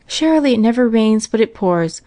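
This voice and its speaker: A woman voicing a devoted, steady frontier mother in a storybook reading. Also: a little high, a little fast, dry recording, voice pushed up, lightly acted. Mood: concerned. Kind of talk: storybook character voice.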